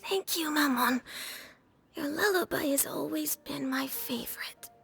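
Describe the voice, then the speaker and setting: A woman voicing a sweet, sleepy, loving small child in a game, soft and whispery, with drowsy affection. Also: sits high, measured pace, creaky, exaggerated voice. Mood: affectionate. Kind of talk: emotional game dialogue.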